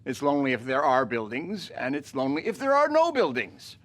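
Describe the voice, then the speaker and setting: An anguished father in a dramatic literary reading. His mid-pitched voice is brooding and measured, falling at times to hushed, bitter phrases. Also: huge pitch swings. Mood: bitter, lonely.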